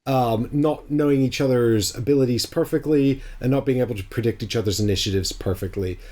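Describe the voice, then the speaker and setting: A man's voice: medium pitch, a little fast, warm tone. A man with a sore throat, hosting a stream and chatting casually about board games, with lots of ums and restarts. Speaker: stream host. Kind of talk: casual chat.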